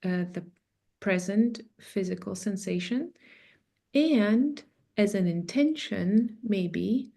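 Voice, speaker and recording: A woman from Russia gently guiding an online sharing circle, talking softly with many pauses and ums. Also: mid-range voice, expressive, creaky. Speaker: circle facilitator. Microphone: video call.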